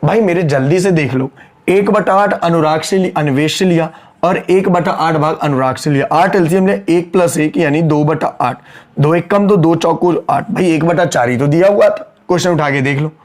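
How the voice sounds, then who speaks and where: A man teaching an accountancy class online in Hindi mixed with English terms, working sums aloud and scolding his students, his throat sore and strained. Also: fairly high pitch. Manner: brisk, scolding, repeats key lines.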